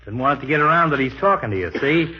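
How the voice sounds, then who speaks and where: An actor playing a chummy, scheming small-town barber, folksy and confiding, in a 1940s radio comedy. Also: male voice, medium pitch, expressive, fast talker, husky. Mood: confiding, sly. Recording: noisy, muffled 1940s radio recording.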